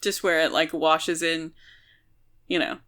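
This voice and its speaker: A woman's voice: low voice, level tone, unhurried, a bit bright. A woman co-hosting a podcast, talking casually about a book in a chatty, explanatory and emphatic way. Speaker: podcast co-host.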